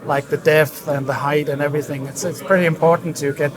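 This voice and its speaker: A man explaining his work as a design director in an interview, in a halting, thoughtful way. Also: on the high side, steady tone. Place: busy hi-fi trade show hall. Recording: crowd chatter around the voice. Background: crowd chatter.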